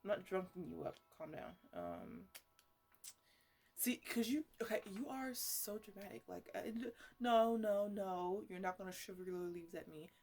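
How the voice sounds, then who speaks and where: A woman plays an eccentric lady botanist in a casual ASMR roleplay. She sits at a middle pitch and chatters in halting, repetitive bursts. She sounds defensive and fussy, as if explaining herself to the plants she experiments on. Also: very animated, darkish tone, faint music behind. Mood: playfully scolding. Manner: rambling, halting, repeats herself.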